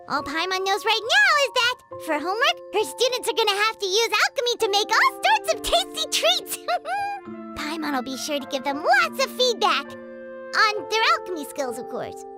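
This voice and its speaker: A woman voicing a tiny, childlike fairy companion in an anime game, squeaky and excitable. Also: a little slow, heavily acted. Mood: eager, gleeful. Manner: excitable, refers to self in third person. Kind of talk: anime video game voice acting. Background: music.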